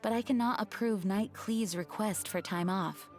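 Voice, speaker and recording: A woman voicing a dutiful, courteous female knight commander in an anime game, speaking formally and in measured phrases. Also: mid-range voice, a little mellow, close to an everyday voice. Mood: firm, regretful. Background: game music.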